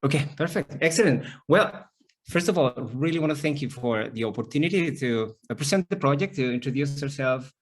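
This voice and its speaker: A middle-aged man from Chile, a native Spanish speaker with a Chilean accent, lively and storytelling as a company CEO in a call interview. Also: mid-range voice, fairly quick, rough recording. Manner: lively, storytelling, frequent restarts. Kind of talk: business interview on a video call.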